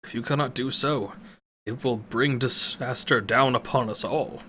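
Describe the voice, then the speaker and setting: Man doing a grave old wizard, raised pitch, bright edge, storybook adventure, faint hiss. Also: unhurried. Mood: solemn. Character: old male wizard leader, solemn and commanding.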